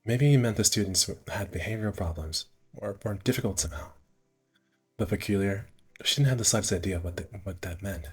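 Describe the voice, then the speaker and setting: Deep voiced guy kinda husky, reading a visual novel on stream, trips over words, music playing behind. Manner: halting read-aloud with stumbles and asides.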